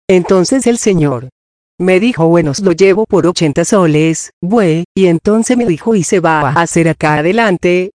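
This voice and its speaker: A man's voice: high-pitched, a little mellow, no echo, studio quality. A man giving a personal testimony and recounting events while quoting what others said to him. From Colombia.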